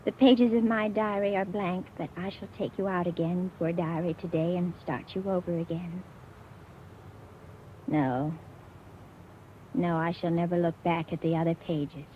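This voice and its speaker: A woman playing a deranged, gloating murderous patient in a theatrical, halting 1940s radio style. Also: lowish voice, steady tone, slight background noise, close to an everyday voice. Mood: brooding, secretive. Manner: theatrical, halting, lingering over words. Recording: muffled old radio recording, music underneath.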